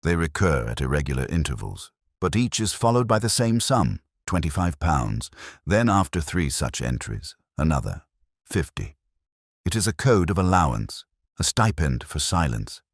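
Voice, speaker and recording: A man acts out a master detective from a mystery audiobook, very deep-voiced and coolly analytical. He speaks in formal, declamatory phrases with lively swings of pitch. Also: moderate pace, dry recording, clean studio sound, full character voice.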